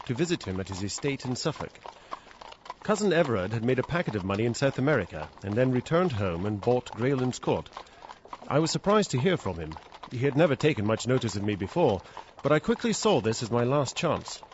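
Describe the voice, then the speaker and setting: A man playing a polite, anxious, hard-up gentleman with a British accent, speaking formally in dramatic narration and dialogue. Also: mid-range voice, big pitch swings, fairly quick, natural voice. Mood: reflective. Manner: formal, well-spoken. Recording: dull, faintly noisy recording.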